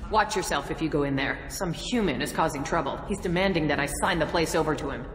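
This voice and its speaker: A woman playing a dry, scheming business owner in a sci-fi video game, sly and deadpan, in an echoey space. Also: low-pitched, fast talker, lightly acted. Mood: sly, annoyed. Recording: echoey hall, faint background noise.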